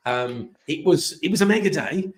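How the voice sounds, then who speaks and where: A man hosting a live webcast, chatty and upbeat, rambling with restarts, his voice a little hoarse. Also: a little high, animated, normal speed. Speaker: host.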